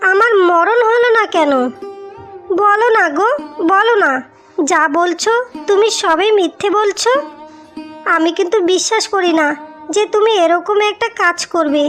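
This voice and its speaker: A woman's voice: very high voice, measured pace, pauses often, fairly bright tone, soft background music. A woman voicing a wronged, pleading young village wife in a melodramatic cartoon. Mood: distraught pleading. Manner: plaintive, pleading.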